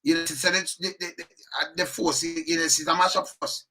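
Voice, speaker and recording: A man's voice: on the high side, normal speed, breathy edge. A Jamaican man in patois giving heated, repetitive commentary as the channel host. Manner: animated, repetitive, indignant. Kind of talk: ranting commentary.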